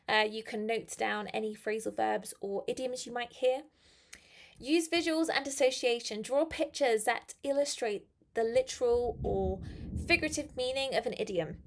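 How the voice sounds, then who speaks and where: A young woman teaching on a live stream, her voice a little croaky, chatty and friendly, slowing down to stress key phrases. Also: fairly high pitch. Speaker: English teacher. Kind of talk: live-stream English lesson.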